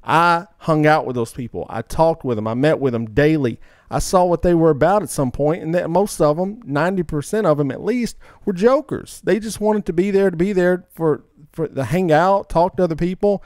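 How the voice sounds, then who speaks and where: Male podcast co-host, slightly high-pitched, casual and opinionated, slangy, close dry sound. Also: normal speed, darkish tone, clean tone, no room sound. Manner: emphatic, repeats himself, slangy.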